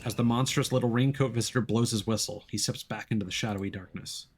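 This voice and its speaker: A man's voice: lowish voice, quick pace, a little hiss or hum. A man streaming a game, narrating its story in a dramatic, theatrical manner.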